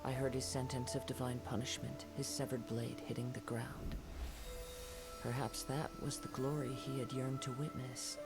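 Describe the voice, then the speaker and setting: Game casting: man as young male wandering samurai, soft-spoken, gravelly edge, poetic and formal, over loud music. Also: mid-range voice, level tone, lightly acted. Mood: solemn, wistful. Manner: measured, poetic, formal phrasing. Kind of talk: video game character dialogue.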